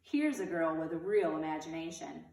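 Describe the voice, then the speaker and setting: A woman reading a storybook, voicing a brisk, encouraging female art teacher with a firm, emphatic way of speaking. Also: deep voice, lively intonation, darkish tone. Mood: admiring, encouraging. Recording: roomy echo, distant mic.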